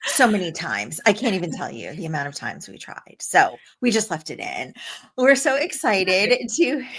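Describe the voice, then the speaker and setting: A woman co-hosting a podcast in casual chat, bubbly and quick to laugh. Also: mid-range voice, a bit bright. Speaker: podcast co-host.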